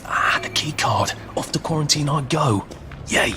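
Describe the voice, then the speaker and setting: A man's voice: on the high side, a little slow, music in the background, lightly acted. An actor playing an anxious, wry Englishman in a video game, muttering to himself with a British accent. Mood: wry, nervous. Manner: wry, muttering, dryly sarcastic. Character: anxious, wry, fussy English man on the run. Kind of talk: video game character monologue, talking to himself.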